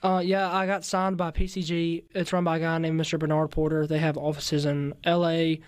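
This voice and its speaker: A teenage boy with a raspy voice, answering interview questions politely with many fillers in a radio studio. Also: on the high side, level tone, brisk, no room sound. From Mississippi-Louisiana border. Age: teens. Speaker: interview guest, singer. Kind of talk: casual interview. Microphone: studio mic with headphones. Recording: dry close studio sound.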